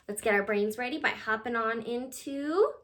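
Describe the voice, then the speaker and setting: A woman reading a children's picture book aloud in an animated, expressive way, addressing young listeners. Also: on the high side, normal speed, slight background noise.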